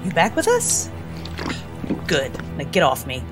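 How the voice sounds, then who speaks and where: Woman as blunt, low-voiced tough vampire. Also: huge pitch swings, a little slow, music in the background, exaggerated voice. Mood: commanding, urgent. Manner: terse, bossy. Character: tough, commanding female vampire, blunt and calculating. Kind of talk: audio drama dialogue.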